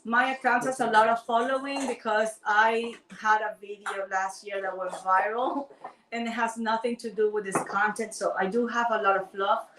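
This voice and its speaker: A woman's voice: a little high, fairly even intonation, moderate pace, fairly bright tone, far from the mic, a little hiss or hum. Chatty Ecuadorian woman on a roomy live stream. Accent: Ecuadorian Spanish. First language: Spanish. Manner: chatty, playful, addresses viewers directly. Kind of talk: casual live-stream chat. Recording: roomy sound from away from the mic.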